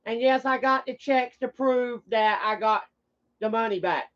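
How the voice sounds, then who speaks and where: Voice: medium pitch, a little slow, fairly bright tone, dull audio. A streamer talking off the cuff to viewers in a blunt, combative, swearing manner. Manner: blunt, combative, foul-mouthed. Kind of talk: casual rambling livestream talk to viewers.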